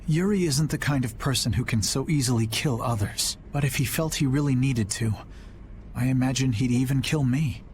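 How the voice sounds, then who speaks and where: A man voicing a calm, earnest young knight. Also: medium pitch, slight background noise, lightly acted. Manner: calm, sincere, measured.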